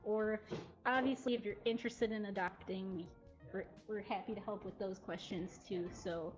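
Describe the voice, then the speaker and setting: A woman's voice: mid-range voice, steady tone, normal speed. A woman presenting in a casual, informal way on a livestream from a cat cafe. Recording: dull lo-fi audio, faint music. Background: faint background music.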